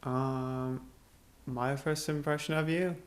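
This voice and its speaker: A man in his late twenties from England with an English accent, chatting casually in a low-key, hesitant way as a guest on a vlog. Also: steady tone, slow pace. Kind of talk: casual chat.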